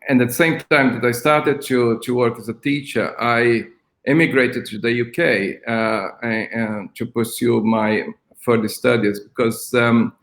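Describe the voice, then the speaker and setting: Older Brazilian-born man, gravelly voice. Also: medium pitch, echoey room. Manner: hesitant, many ums and restarts. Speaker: school principal. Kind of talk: online interview. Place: flat at home.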